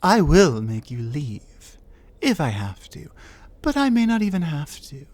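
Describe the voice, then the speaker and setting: Slow-talking territorial troll woman, scornful and commanding, ASMR roleplay, voiced by a woman. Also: low-pitched, big pitch swings, a little mellow, no room sound, heavily acted. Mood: cold, mocking. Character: territorial female troll, huge, reclusive, cold. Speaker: voice actor.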